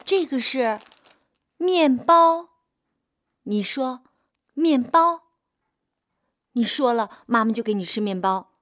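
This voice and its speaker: A woman's voice: a little high, lively intonation, slow pace, long pauses, fairly bright tone, dry recording, clean studio sound. An actress playing a devoted, long-suffering middle-aged mother in a radio drama, with a tender, emotive, pleading delivery. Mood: patient coaxing.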